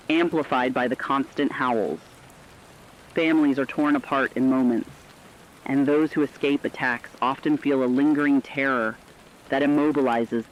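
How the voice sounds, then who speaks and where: A man's voice: mid-range voice, a little hiss or hum, lightly acted. Serious male radio reporter, acted, slightly muffled. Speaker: radio emergency broadcast reporter. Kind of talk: scripted horror radio narration.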